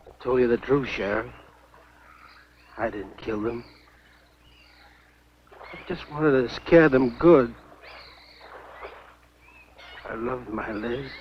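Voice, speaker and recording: An actor playing a betrayed American husband, terse and intense, in a 1950s B-movie drama. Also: male voice, mid-range voice, slight background noise, lightly acted. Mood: pleading, grief-stricken. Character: betrayed, menacing husband with a shotgun, later desperately grieving. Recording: dull 1950s film soundtrack.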